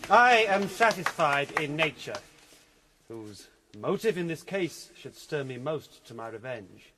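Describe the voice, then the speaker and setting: A proud young nobleman guarding his honour in a Shakespearean radio play. His fairly high, sharp voice declaims slowly and formally, with animated swings. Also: a little hiss or hum, full character voice. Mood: proud, aggrieved. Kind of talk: classical Shakespearean radio drama acting.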